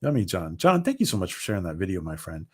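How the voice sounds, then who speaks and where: A man hosting a casual livestream, rambling with many ums and restarts as his voice starts to go hoarse. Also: deep voice, very animated, quick pace, darkish tone. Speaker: livestream host. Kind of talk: casual livestream chat reading viewer comments.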